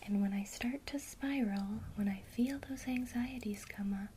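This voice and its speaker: A woman teaching a witchcraft lesson in a soft, gentle ASMR whisper. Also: a little high, normal speed, slight background noise.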